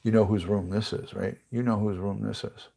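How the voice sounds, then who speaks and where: A middle-aged retired detective from the United States chatting casually on a livestream, rambling and reading out viewer comments, with a congested, stuffy voice. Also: male voice, on the low side, steady tone, normal speed, vocal fry, dark tone, breathy edge.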